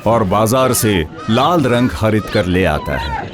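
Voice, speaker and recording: A man's voice: on the low side, lively intonation, slow pace, a crowd in the background. A man narrating a scripted story for children.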